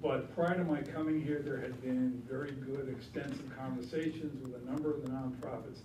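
A man's voice: medium pitch, fairly even intonation. Guy answering council questions at a podium, lots of ums, slight background hum. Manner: measured, lots of ums, self-deprecating asides. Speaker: city official presenting to council. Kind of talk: formal public meeting remarks. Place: council chamber, standing at podium. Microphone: podium microphone.

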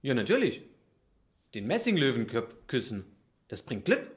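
Man playing a simple, earnest warehouse worker, lively pitch. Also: moderate pace, lightly acted. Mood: matter-of-fact. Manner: earnest, colloquial, easily baffled. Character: contented, simple-hearted male deposit-bottle warehouse worker. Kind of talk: improvised audio play. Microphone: microphone on stand.

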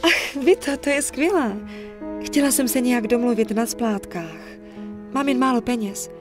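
An actress playing a gentle, worried young woman in a dubbed video game, earnest and soft-spoken. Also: a little high, over music, close to an everyday voice. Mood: worried, grateful. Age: young adult.

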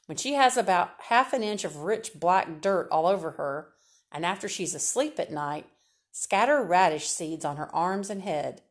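A woman reader voicing a kindly, unflappable older woman who gives cheerful, matter-of-fact advice about children. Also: on the low side.

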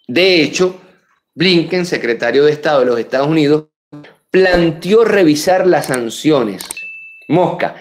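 A man in his thirties with a Venezuelan Spanish accent, hosting a live political commentary show from a room lined with bookshelves, speaking emphatically and dropping to quiet asides. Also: a little high, lively intonation, a little slow. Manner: emphatic, rises sharply on key names, drops to quiet asides. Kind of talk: live political commentary reading viewer messages. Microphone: webcam at desk.

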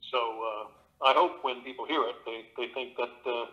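A man's voice: mid-range voice, steady tone, moderate pace, lo-fi sound. A middle-aged man, an actor and audiobook narrator, talking casually in an interview from his home studio with a theatrical, expansive manner.

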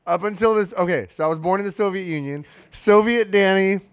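A man's voice: high-pitched, fairly quick, smooth, no echo. A man who grew up in Ukraine, with Russian as his first language, chatting casually on a podcast in a small studio. He rambles, swears often and restarts his sentences. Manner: rambling, profane, many restarts. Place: small studio with red walls. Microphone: studio mic on boom arm.

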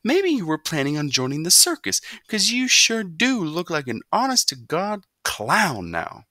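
A man's voice: a little high, very animated, moderate pace, pushed and bright, no echo, clean studio sound, pitched above the performer's own voice. A man voicing a stern, exasperated mother scolding her son in a storybook reading.

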